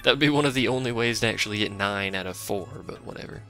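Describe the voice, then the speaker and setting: Deep, gravelly man rambling over gameplay. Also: fast talker, sharp tone, soft background music. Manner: rambling, offhand, self-deprecating; chats with a live stream chat. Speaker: let's play streamer. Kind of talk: casual gameplay commentary.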